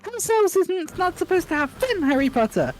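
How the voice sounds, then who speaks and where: A woman voicing a timid female house elf servant with a high, quivering squeak and broken, deferential grammar. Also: very animated, normal speed, soft background music, rough recording. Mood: timid servile.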